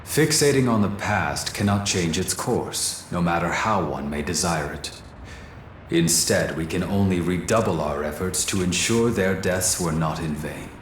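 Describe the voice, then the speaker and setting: A man's voice: low-pitched, a little slow, echoey room, slight background noise. An actor playing an earnest, dutiful artificial male being who speaks in a formal, precise, slightly stiff way in a game cutscene.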